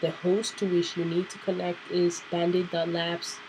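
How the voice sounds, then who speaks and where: A woman hosting a casual computer tutorial, nasal and clearing her throat because she is sick, thinking aloud with fillers. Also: on the low side, level tone, moderate pace, noisy background. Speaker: tutorial host. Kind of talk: casual screen-recorded tutorial.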